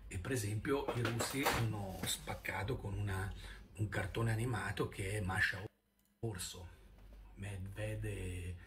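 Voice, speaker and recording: A man's voice: fairly low pitch, fairly even intonation, unhurried. A man in his fifties reminiscing casually to camera, hesitant and often searching for words. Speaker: vlogger. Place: indoor room at home. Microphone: camera held at arm's length. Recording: noisy amateur phone-style video recording.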